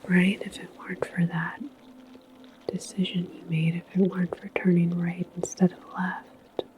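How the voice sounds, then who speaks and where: A woman whispering softly in an ASMR sci-fi roleplay as a calm, musing, reassuring station attendant. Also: on the low side, normal speed, background noise. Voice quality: soft, breathy whisper. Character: calm, philosophical attendant at a futuristic portal station.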